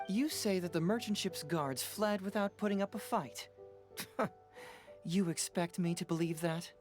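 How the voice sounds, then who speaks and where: A man's voice: high-pitched, a little slow, a little mellow, soft background music. An actor playing a shrewd young male detective in a video game, probing and playfully skeptical.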